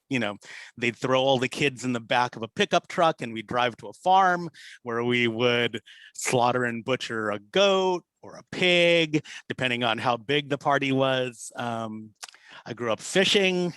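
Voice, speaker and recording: A man's voice: mid-range voice, smooth, dry recording, low-quality recording. A man in his forties, a chef, casually introducing himself on a video call with lots of fillers. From Philippines, raised in California. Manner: relaxed, rambling, many fillers. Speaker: senior sous chef.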